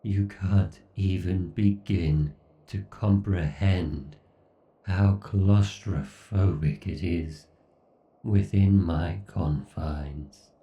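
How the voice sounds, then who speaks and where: A man's voice: very slow, exaggerated voice. A man playing an obsessed, condescending villain AI god in a horror ASMR roleplay, with a brooding, theatrical, menacing delivery. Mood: bitter yearning. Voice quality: gravelly, dark, low. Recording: dull, roomy, slightly distant sound.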